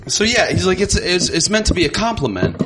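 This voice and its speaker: A man telling self-deprecating stand-up stories in an animated way on a live stage. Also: sits high, brisk. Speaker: stand-up comedian. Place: live comedy show before an audience. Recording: noisy live stage recording with clicks.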